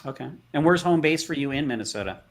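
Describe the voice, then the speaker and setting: A man's voice: fairly high pitch, animated, quick pace. A man moderating an online panel over a video call, asking casual, rambling questions with frequent restarts.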